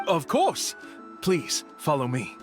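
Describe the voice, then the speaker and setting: A grandiose, highish-voiced tour guide. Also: male voice, wildly swooping pitch, takes it slowly, over music, full character voice. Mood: courteous, explanatory. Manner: formal, smooth salesman-like patter. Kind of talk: video game voice acting.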